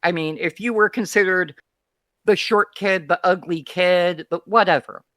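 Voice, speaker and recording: A guest from Texas with a softened Texan accent, talking candidly and thoughtfully in a casual remote interview. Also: mid-range voice, moderate pace, no room sound, clean studio sound. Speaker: guest, feed curator. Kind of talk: casual conversation.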